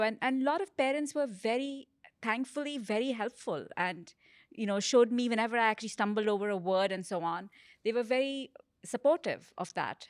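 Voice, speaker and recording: A middle-aged woman speaking gently and expressively in an interview, recorded on a stand mic in a curtained studio. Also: mid-range voice, dry recording. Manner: gentle, expressive, hand gestures. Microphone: stand mic close by.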